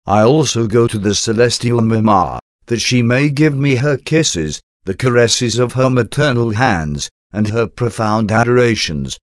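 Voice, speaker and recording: A man's voice: medium pitch, measured pace, hardly any pauses, no room sound, clean studio sound. A man reading a devotional prayer aloud in an earnest, pleading, reverent way. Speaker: devotional reader.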